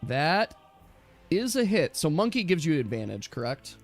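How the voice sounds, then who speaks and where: A man with a fairly high voice runs a tabletop role-playing game in a casual, chatty way. He explains rules and turns as game master, with faint music behind him. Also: sing-song, moderate pace.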